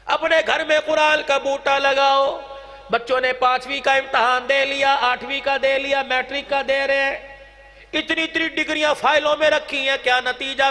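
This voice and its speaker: A man's voice: very high voice, bright, forceful tone. A man preaching an impassioned Islamic sermon, declaiming and drawing phrases out into a chant. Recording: long hall reverb, music-like sound behind.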